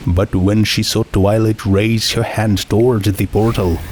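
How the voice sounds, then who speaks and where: A man's voice: on the low side, flat delivery, a little slow, darkish tone, a little hiss or hum. A man narrating a scripted fiction story as its reader.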